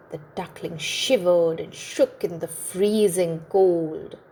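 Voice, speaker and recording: A woman reading a children's story aloud in an expressive, deliberate and instructive way, as host and narrator. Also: fairly low pitch, slight background noise.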